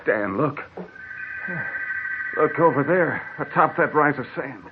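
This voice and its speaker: A man's voice: medium pitch, expressive, slow. A man playing a desperate, parched traveler lost in the desert, in an anguished, melodramatic old radio drama style. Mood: entranced. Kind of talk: 1940s radio drama acting. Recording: tinny, hissy old radio recording.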